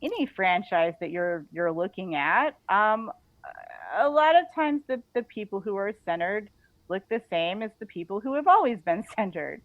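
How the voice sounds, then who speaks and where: A woman's voice: mid-range voice, animated, dry recording. Casual woman guest, slightly dull audio. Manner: chuckles often while talking; informal, halting, frequent "like" and "you know". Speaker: interview guest, author. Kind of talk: casual conversational interview.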